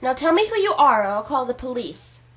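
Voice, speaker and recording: A woman's voice: high-pitched, very animated, a little fast, a bit bright, breathy edge, full character voice. A woman playing a stressed, skeptical heir in an audio drama, incredulous and defiant in manner. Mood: angry, defiant. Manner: incredulous, combative, sarcastic.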